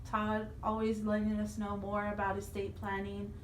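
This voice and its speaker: A woman's voice: mid-range voice, completely flat delivery, moderate pace. A woman co-hosting a radio talk, speaking in a conversational announcing style. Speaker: radio co-host. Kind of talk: conversational radio plugs and wrap-up. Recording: roomy, slightly distant, faint noise.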